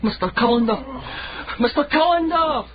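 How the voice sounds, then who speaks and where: An actor playing an excited young caddy bringing news in a radio drama, urgent and calling out. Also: male voice, very high-pitched, normal speed, lo-fi sound, crowd noise. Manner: urgent, shouting.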